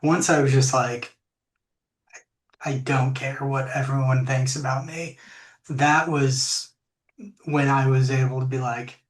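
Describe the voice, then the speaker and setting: Deep-voiced woman, slow and reflective. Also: fairly even intonation. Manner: reflective, unhurried, many fillers and restarts. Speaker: podcast guest. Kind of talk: casual interview talk. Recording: echoey room.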